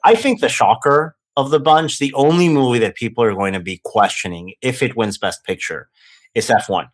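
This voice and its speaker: A man with a Mexican accent co-hosting a film industry podcast, giving measured, opinionated analysis in casual chat. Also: mid-range voice, animated. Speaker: podcast co-host.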